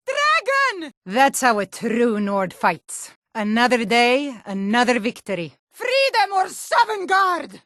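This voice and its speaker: A woman's voice: a little high, fairly bright tone, dry recording, studio quality. A woman voicing a hardy, proud Nord warrior in a fantasy video game, speaking in an earnest, firm and plain-spoken way. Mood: shouting, alarmed, then battle-ready.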